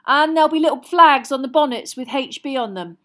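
A playful teenage prankster from a storybook, acted by a woman. He teases with mock grandeur, stretching and swooping through his words in a cheeky, animated way. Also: high-pitched, brisk, voice pushed up. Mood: deadpan teasing. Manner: mock-posh, teasing. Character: cheeky, mocking, playful teenage twin brothers.

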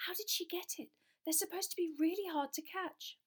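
A woman's voice: extremely high pitch, fairly quick, voice pushed up. A woman voicing a starry-eyed teenage schoolgirl who gushes breathlessly. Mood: awed. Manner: breathless, gushing.

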